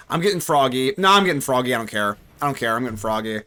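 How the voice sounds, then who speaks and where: A young man at a middle pitch narrates his own card game live, his voice jumping up and down with excitement. He jokes, mutters to himself and answers his chat in a loose, chatty way. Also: fast. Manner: animated, talks to himself and chat, jokey. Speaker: gaming streamer. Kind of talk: live gameplay commentary.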